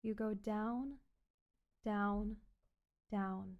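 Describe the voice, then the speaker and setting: A woman performing an ASMR hypnosis roleplay as a calm, controlling doctor, speaking in a soothing, repetitive, coaxing way. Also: medium pitch, extremely slow, narrow, tinny audio. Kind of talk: ASMR hypnosis roleplay, guided relaxation script.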